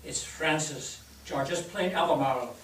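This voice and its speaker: Picked up from afar with echo, a man plays a plain-spoken mill foreman. He is informal and no-nonsense yet friendly, in a slightly high voice. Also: unhurried, close to an everyday voice. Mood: brisk, friendly. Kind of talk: staged play reading. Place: theatre stage. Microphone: distant microphone. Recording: distant, echoey stage with noise.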